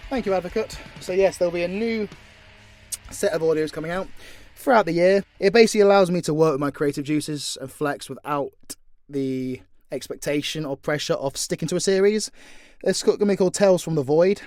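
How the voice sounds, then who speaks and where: Higher-pitched man, blunt and sweary. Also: brisk, a little mellow, no echo, clean studio sound, soft background music. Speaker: audio creator answering listener questions. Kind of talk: casual, unscripted Q&A chat.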